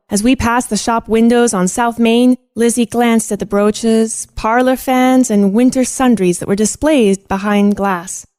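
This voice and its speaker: A woman's voice: on the high side, darkish tone, dry recording, natural voice. A woman playing an earnest, proper young girl, a detective's cousin, speaking precisely in an old-fashioned radio play. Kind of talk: radio play acting and storytelling narration.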